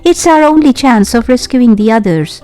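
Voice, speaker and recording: A woman's voice: on the high side, animated, normal speed, dark tone, clear, resonant tone, faint music behind, voice pushed up, lightly acted. A woman voicing an anxious, caring young girl adventurer in a children's storybook, earnest and pleading. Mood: earnest, hopeful. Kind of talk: children's storybook character acting.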